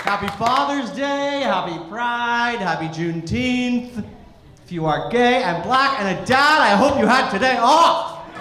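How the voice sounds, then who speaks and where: American guy doing standup, voice kinda high and swoopy, echoey club mic with some noise. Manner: animated storytelling with acted-out quotes and deliberate pauses. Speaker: stand-up comedian. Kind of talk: live stand-up comedy routine. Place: indoor comedy club stage. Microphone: stage microphone.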